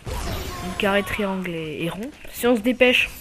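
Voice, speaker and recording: A man's voice: sits high, music in the background. A man with a slight cold giving casual, hesitant commentary over his own gameplay as channel host. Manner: hesitant, many filler pauses.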